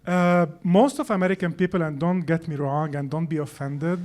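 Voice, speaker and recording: A man's voice: fairly high pitch, steady tone, normal speed, darkish tone. A young man from Lebanon, French his first language, telling his story as a guest chef in an interview. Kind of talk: casual interview chat.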